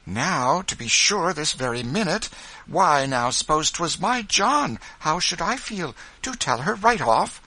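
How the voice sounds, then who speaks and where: A little young Quakeress from a storybook, played by a man. The voice is high, bright and swooping, full of eager warmth. It urges excitably in old-fashioned speech. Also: moderate pace, few pauses, breathy edge, no echo, exaggerated voice, poor audio. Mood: eager, pleading. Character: little young Quaker wife, bubbly, eager, warm-hearted. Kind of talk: audiobook character voice, storybook dialogue.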